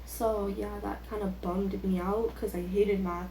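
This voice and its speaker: Teenage girl, mellow voice, casual rambling chat, self-deprecating, echoey room, faint noise. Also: medium pitch, steady tone, a little slow. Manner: self-deprecating, filler-heavy youthful talk. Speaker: vlogger.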